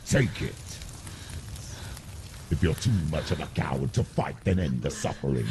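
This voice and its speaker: An actor playing a cruel, contemptuous shadow demon in a video game, growling sneering taunts in a gravelly voice. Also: male voice, a bass voice, sing-song, moderate pace, background noise, exaggerated voice. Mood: cold goading. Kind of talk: video game cutscene acting.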